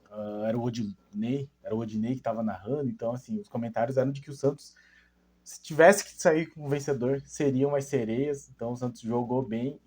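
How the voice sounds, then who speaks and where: A man's voice: medium pitch, a little mellow, breathy edge. A young man hosting his football channel, casually analysing a women's match and rambling with many fillers and self-corrections.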